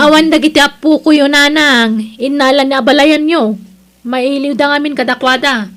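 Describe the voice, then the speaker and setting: A woman playing a busy, stingy grown daughter in an audio drama, loud, brusque and dismissive. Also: high voice, steady tone, moderate pace. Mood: curt, dismissive. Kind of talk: Ilocano audio drama acting.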